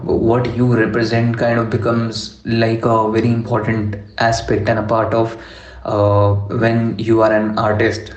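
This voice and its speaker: A young man from Delhi, a rapper, answering interview questions in a measured way, with many fillers like "you know" and "uh". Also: on the low side, a little mellow. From Delhi, India. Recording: echoey room, dull lo-fi sound.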